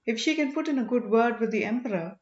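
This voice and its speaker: A woman's voice: fairly high pitch, lively intonation, quick pace, close to an everyday voice. Loyal boatman voiced by a woman, roomy sound. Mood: deferential. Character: deferential, loyal boatman serving his king. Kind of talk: audiobook character dialogue. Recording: roomy, slightly dull home recording.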